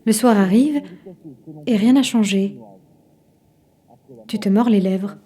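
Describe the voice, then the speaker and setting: A woman narrating a literary audio drama in an intimate, measured way, with a soft voice. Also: mid-range voice. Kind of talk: literary second-person narration in audio fiction.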